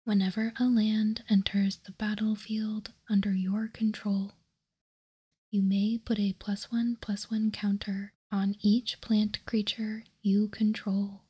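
A woman speaks in a very breathy ASMR whisper, close to the microphone. Her delivery is slow and deliberate, with little rise or fall and a soft, mellow tone. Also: mid-range voice, little pitch movement. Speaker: host of a trading card ASMR channel. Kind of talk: whispered ASMR, reading out and commenting on cards.

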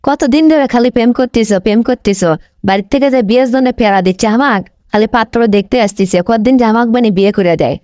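A woman's voice: fairly high pitch, fast talker, a little mellow, no echo, natural voice. A woman voicing a pushy, lovestruck young village girlfriend in a comedy cartoon, insistent and coaxing, with a North Bengal accent. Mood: upset, insistent. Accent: North Bengal Bangladeshi.

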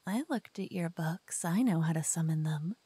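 A woman's voice: low voice, moderate pace, breathy edge, no room sound. A woman playing a teasing whispering lover. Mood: playful teasing. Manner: playful, teasing, soft whispering. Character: cocky playful young woman, a devoted lover waking her partner. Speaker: ASMR roleplay performer. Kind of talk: whispered ASMR roleplay. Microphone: binaural mic.

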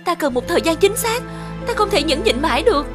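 A gentle but brave young cartoon princess. Her voice sits extremely high and sounds earnest and plaintive, with music playing clearly underneath. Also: big pitch swings, fast. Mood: pleading, impatient. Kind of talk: children's cartoon acting.

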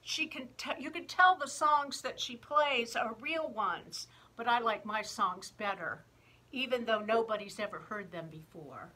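Woman playing a petulant jealous little kid in a storybook, grumbly, takes their time, bright voice. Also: on the high side, unhurried, poor audio. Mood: grumbling, envious. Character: small, envious younger sibling griping about an older sister. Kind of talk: expressive children's picture book reading.

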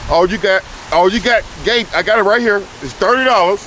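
A man's voice: high-pitched, brisk, bright, forceful tone, slight background noise, low-quality recording. A man giving a blunt, profane lecture in casual street talk, speaking into a handheld phone in a rainy parking lot. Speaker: street interviewer.

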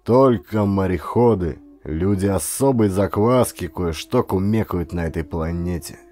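A man narrating an adventure audiobook as a dramatic, expressive, theatrical storyteller. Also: sits low, unhurried.

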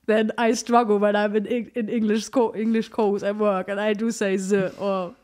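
A woman's voice: medium pitch, level tone, normal speed, a little mellow. A young woman from Germany with a German accent, co-hosting a casual podcast and playfully quizzing her partner, laughing often. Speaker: podcast co-host. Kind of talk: casual chat.

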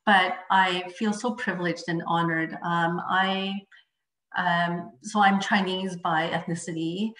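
A woman's voice: fairly low pitch, fairly even intonation, takes it slowly. Chatty woman doctor, echoey call. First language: Mandarin. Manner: chatty, frequent fillers and restarts, laughs softly. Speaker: family physician. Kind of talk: webinar presentation. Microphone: video call.

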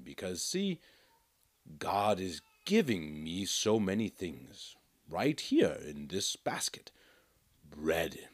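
A humble, patient monk in a storybook, soft-spoken and kind, as a man reading aloud gives him voice. The voice is husky and a little low, with lively rises and falls. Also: exaggerated voice. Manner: soft, patient, warm-hearted.

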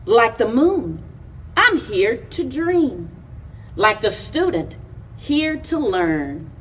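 Female storyteller, middle-aged, husky, very lively intonation, expressive read-aloud for kids, picked up by a distant camera mic. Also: fairly high pitch, slight background noise. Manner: deliberate, animated phrasing with pauses between short lines. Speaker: library story time reader. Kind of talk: expressive picture-book read-aloud for children. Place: indoors, in front of a library chalkboard wall.

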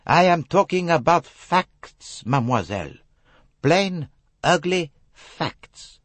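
A man voicing an elderly, courteous, shrewd foreign detective in an audiobook, speaking with slow, formal emphasis. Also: on the high side, very animated, slightly rough, dry recording, voice pushed up, exaggerated voice. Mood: grave, insistent.

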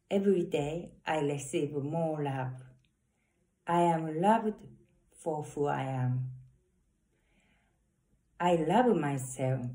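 A woman with a strong Japanese accent speaks in a low, unhurried voice, reading affirmations to her phone. She talks earnestly, stops to correct herself, and takes her time over each word. She is recorded up close in the corner of a small room. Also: dark tone. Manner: slow, earnest, halting English with self-corrections. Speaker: saxophonist vlogger. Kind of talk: reading love affirmations to camera. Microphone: phone camera at arm's length.

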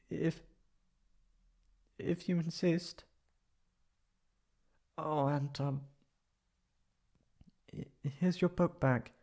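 A man voicing a shy, flustered young tsundere catboy in a high put-on voice, halting and stammering in a roleplay audio drama. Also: creaky, dark tone, heavily acted. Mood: shy, eager. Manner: halting, stammering, pleading and defensive.

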